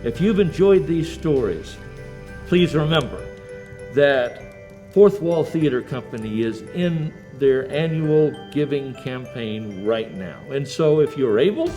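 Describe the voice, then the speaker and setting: A man's voice: mid-range voice, animated, takes it slowly, warm tone, soft background music. A man playing a jolly, long-winded old Santa Claus, hosting in a jovial, rambling manner. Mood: warm, grateful. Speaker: show host. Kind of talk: in-character hosting.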